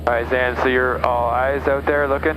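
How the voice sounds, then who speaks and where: A man with a medium-pitched voice talks casually as a pilot on an aviation headset. He is in a small plane, with clear engine noise around him. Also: level tone, moderate pace. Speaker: pilot in the cockpit. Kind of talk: casual cockpit talk. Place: small propeller plane cockpit. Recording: noisy small-plane cockpit intercom.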